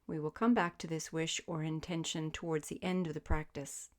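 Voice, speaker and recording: Low-voiced woman guiding a meditation. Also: level tone. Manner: soothing, gentle, measured invitations with pauses. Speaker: yoga nidra meditation teacher. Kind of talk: guided sleep meditation, spoken instruction.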